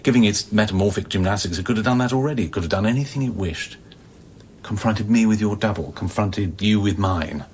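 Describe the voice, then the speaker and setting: An actor in a radio play, playing a bitter, volatile space-station scientist who philosophizes intensely and haltingly, dropping at times toward a breathy near-whisper. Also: male voice, fairly low pitch, a little mellow, slight background noise, natural voice. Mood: grave, uneasy. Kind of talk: dramatic radio play acting.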